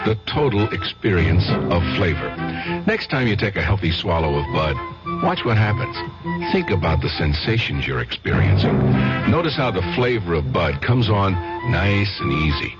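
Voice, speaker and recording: A man voicing a radio beer commercial, smooth and persuasive. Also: sits low. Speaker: commercial announcer. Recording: lo-fi 1970s radio ad with music.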